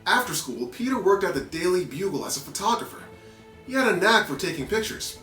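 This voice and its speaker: A man reading a children's story aloud in an animated narrating style with playful asides. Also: sits high, a little fast. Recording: roomy echo with background music.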